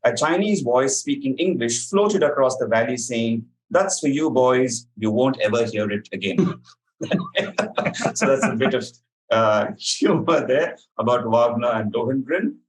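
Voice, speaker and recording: A man's voice: mid-range voice, expressive, unhurried, poor audio. Articulate male quiz master reading questions.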